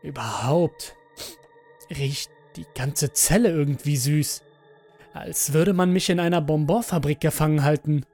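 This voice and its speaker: A man narrates a first-person horror story in a deliberate, dramatic way. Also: fairly high pitch, darkish tone. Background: background music.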